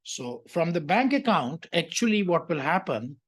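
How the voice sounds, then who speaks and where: Accounting tutor, mid-pitched voice, didactic, explanatory lecture style, checks understanding. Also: sing-song, normal speed, husky. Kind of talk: explanatory lecture working through an exam question.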